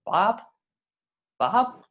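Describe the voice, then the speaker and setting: A woman's voice: drawn-out words, narrow, tinny audio. A woman playing a fussy, tyrannical middle-aged male office boss in a script read, clipped, pompous and condescending. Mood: pompous lecturing. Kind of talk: amateur script table read.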